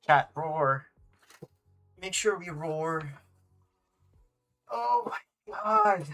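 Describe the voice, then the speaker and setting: Livestreamer, foul-mouthed and casual, very drawn-out pace, faint music underneath. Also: medium pitch, expressive, fairly bright tone. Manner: crude, slangy, swearing. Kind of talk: casual gameplay reaction.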